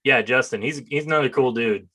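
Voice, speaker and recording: A man's voice: mid-range voice, fairly quick, bright, forceful tone. A man hosting a casual interview in a chatty, self-deprecating, joking way. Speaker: interviewer, host and comics writer.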